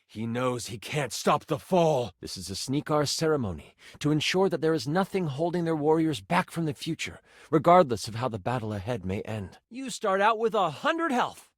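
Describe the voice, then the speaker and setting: A nerdy male game master die from a video game, super animated, high voice, dramatic. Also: moderate pace, hardly any pauses, no room sound, clean studio sound. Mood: dramatic storytelling, then explanatory. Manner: theatrical, swinging from exasperated outbursts to hushed storytelling. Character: excitable living twenty-sided die, theatrical nerdy male game master. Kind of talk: tabletop game narration and banter.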